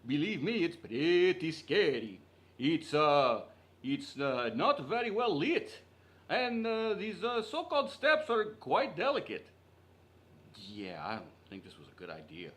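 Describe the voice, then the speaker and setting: A man's voice: on the high side, sing-song, measured pace, a bit bright. A man playing a bumbling, defensive amateur host in a comedy radio sketch, talking in a hesitant, everyday way. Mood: bumbling, dazed.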